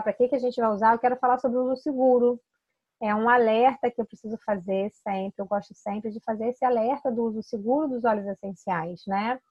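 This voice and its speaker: A woman's voice: on the high side, darkish tone, no room sound, highs cut off. A Brazilian woman consultant explaining how to use products, chatty and persuasive, often adding tag questions and restarting sentences. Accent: Brazilian Portuguese. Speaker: essential oils consultant teaching product use. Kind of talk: informal explanatory talk to camera.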